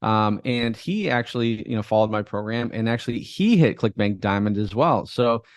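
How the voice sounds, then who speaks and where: Man, lowish voice, dry close mic; easygoing rambling interview chat. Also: moderate pace, no echo. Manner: relaxed, rambling, many fillers and restarts. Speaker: guest, affiliate marketer. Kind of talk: casual interview talk.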